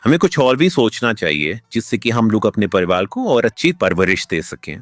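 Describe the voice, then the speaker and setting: A man playing an earnest, expressive village farmer in a cartoon story. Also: lowish voice, normal speed, dry recording. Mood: earnest, concerned. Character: two earnest village farmer friends, adult men. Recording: music behind the voice.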